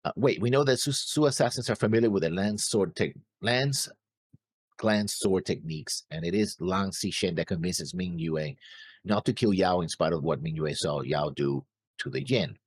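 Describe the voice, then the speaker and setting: A man co-hosting a reaction show, deliberately laying out his theories from prepared notes. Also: sits low, animated, husky, no echo. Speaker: co-host of an anime reaction show.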